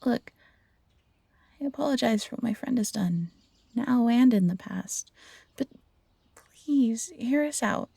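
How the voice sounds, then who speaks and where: A woman's voice: fairly high pitch, expressive, takes it slowly, creaky, long pauses, mellow, slightly rough, no echo, exaggerated voice. A woman playing a loyal, feisty young fox hybrid, earnest and protective, in a fantasy audio roleplay. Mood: pleading, sheepish. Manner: earnest, defiant, pleading.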